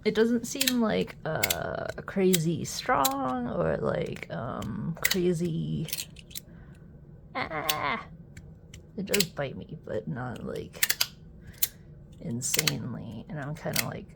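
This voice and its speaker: Slow, sniffly woman unboxing a gadget. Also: on the low side, big pitch swings, gravelly, very noisy. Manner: hesitant, halting, many fillers. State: sniffly with a cold. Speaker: unboxing video host. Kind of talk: casual unboxing commentary.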